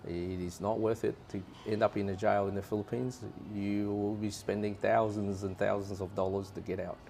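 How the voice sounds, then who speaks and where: A man from Australia speaks as an interview guest, earnest and plain, repeating his advice. His voice sits low and gravelly with very little melody. Faint ambient noise hangs behind him. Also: level tone, moderate pace, mellow. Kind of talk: casual advice chat.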